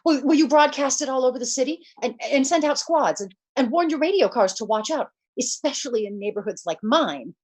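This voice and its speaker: Woman playing fretful bedridden wife, 1940s radio drama, husky edge, very animated pitch, insistent, stammering. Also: fairly quick, few pauses. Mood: uneasy, pleading. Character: nervous, demanding invalid wife alone at night. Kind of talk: 1940s radio suspense acting.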